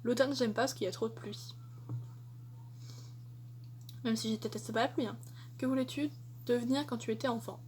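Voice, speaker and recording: A woman's voice: a little high, fairly even intonation, fast talker, a little mellow, a little hiss or hum. A young woman answering tag questions in a casual vlog, chatty and hesitant with many fillers. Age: young adult. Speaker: vlogger.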